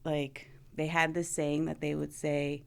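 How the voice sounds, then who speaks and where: A woman's voice: low-pitched, little pitch movement, unhurried, gravelly, clean tone. A woman hosting a casual podcast talk as a marriage and family therapist, chatty, saying "like" a lot and laughing often.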